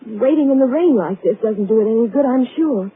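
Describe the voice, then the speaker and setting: An actress playing an anxious, uneasy wife in a 1940s radio drama. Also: female voice, fairly high pitch, lively intonation, brisk, slightly rough. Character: nervous young wife with a headache. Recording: tinny 1940s radio broadcast.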